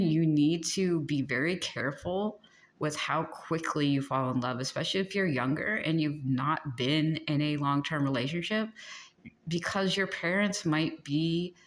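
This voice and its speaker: Low-voiced woman giving a chatty tarot reading. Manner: chatty, earnest, rambling with self-corrections. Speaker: tarot reader. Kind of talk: casual tarot reading to camera.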